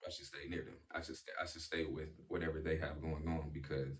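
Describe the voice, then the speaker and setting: A young man with a low, deep voice talks through his thoughts slowly in a casual chat. He fills his sentences with ums and restarts, and now and then catches on a stutter. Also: level tone. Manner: reflective, many fillers and restarts, occasional stutter. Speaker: podcast guest.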